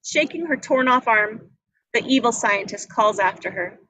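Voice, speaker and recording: Bright, high-pitched woman narrating a script. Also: expressive, normal speed, poor audio. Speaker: narrator reading action descriptions. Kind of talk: scripted narration at a live table read.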